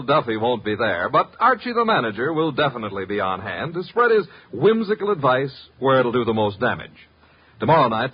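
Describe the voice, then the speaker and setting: A man's voice: medium pitch, slightly rough. A man working as a radio announcer, reading scripted copy in a polished, emphatic 1950s style. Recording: dull old radio recording with music. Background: music.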